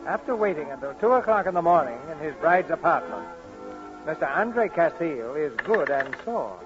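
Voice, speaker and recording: A man's voice: on the high side, big pitch swings, moderate pace. A man narrating a romantic comedy in scripted 1940s radio drama style. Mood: wry storytelling. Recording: muffled old radio broadcast, music behind.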